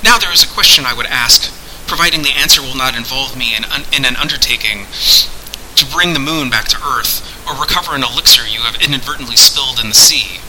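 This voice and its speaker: A man voicing an earnest, wry adventurer who talks in formal, measured archaic language. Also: medium pitch, pushed and bright, slight background noise, poor audio. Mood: wry, inquiring.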